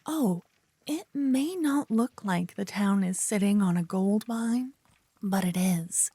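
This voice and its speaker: A woman playing a silly, adorable young paladin in an ASMR audio roleplay, teasing and theatrical, hushed in places. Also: medium pitch, slow, husky, no room sound. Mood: proud, lecturing. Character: adorable silly young female paladin, bossy, smitten, playful know-it-all.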